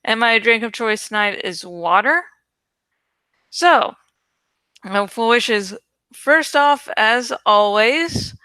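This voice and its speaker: A woman's voice: a little high, bright, forceful tone, clean tone, no room sound. A woman host talking casually to her viewers, rambling in an irreverent, sweary, giggly way. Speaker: host of a reading channel.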